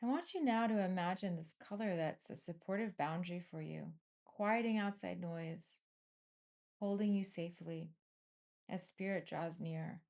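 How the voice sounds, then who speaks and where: A woman leading a guided meditation in a soothing, unhurried manner, leaving frequent pauses between short phrases. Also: lowish voice, vocal fry, darkish tone.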